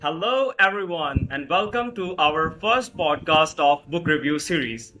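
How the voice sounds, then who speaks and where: A man's voice: a little high, animated, unhurried, pushed and bright, soft background music, low-quality recording. A man hosting a podcast, introducing a guest and asking questions in a formal way with hesitant fillers. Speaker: podcast host.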